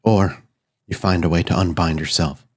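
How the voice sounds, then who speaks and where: A man voicing a solemn, fatalistic dead spirit bound to an old hotel, speaking cryptically in short measured phrases in a tabletop game. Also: deep voice, steady tone, husky, voice pushed down. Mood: cryptic, solemn.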